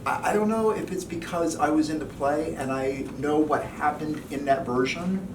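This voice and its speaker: Higher-voiced man, hesitant, echoey and distant. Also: normal speed. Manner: hesitant, careful, tentative. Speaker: former cast member giving feedback. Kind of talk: informal audience feedback. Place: indoor gathering in a home. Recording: echoey, distant mic, noisy room.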